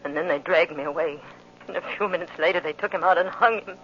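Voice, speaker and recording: A woman's voice: lowish voice, fast, faint music behind, lightly acted. An actress playing a grief-stricken, vengeful young widow in 1940s radio drama, intense and dramatic, repeating her phrases obsessively. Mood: bitter, haunted. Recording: muffled, noisy old radio recording.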